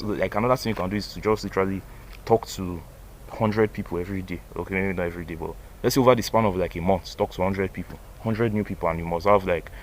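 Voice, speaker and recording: A man's voice: low voice, slight background noise. A man, rambling loosely through a casual motivational monologue for his vlog, full of "like" and "bro". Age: 20s. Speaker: vlogger. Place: outdoors by a banana tree.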